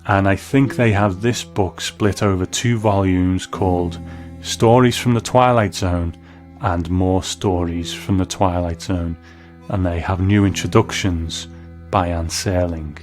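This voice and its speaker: A man with a low voice presents as a host, narrating a scripted commentary on old television and radio. Soft music plays lightly behind him.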